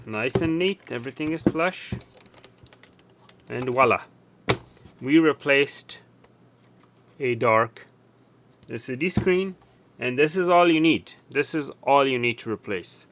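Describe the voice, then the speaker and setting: A man presenting a casual hands-on repair demonstration, going step by step in a halting way and correcting himself. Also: mid-range voice, a bit bright. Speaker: tutorial presenter.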